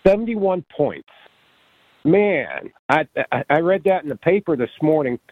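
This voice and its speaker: A man's voice: a little high, big pitch swings, no room sound. Male caller on a tinny phone line. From Ohio. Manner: rambling, friendly, halting. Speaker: radio caller. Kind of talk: phone-in chat.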